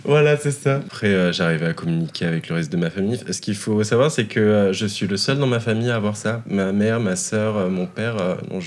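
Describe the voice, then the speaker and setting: A very deep-voiced man from Morocco talks as a podcast guest. He speaks hesitantly, with plenty of fillers, and often breaks into laughter. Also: brisk, few pauses. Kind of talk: casual interview.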